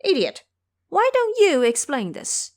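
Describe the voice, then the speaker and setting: A woman playing a brash, bossy male monkey disciple with a sharp, scolding, emphatic manner in an audio drama. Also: sits high, huge pitch swings, unhurried, pitched above the performer's own voice, exaggerated voice.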